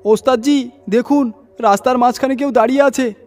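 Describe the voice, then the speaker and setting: A man's voice: very high voice, steady tone, fairly quick, a little mellow, faint music behind, voice pushed up. A man voicing a frightened, superstitious young truck hand who speaks urgently and deferentially to his boss in a horror audio story. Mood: panicked. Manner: alarmed, urgent, addressing his boss respectfully.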